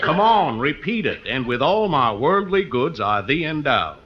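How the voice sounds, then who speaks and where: An actor playing a frontier justice of the peace officiating in an old radio comedy, formal and deliberate. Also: male voice, a little high, very animated. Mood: impatient. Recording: noisy, muffled old radio recording.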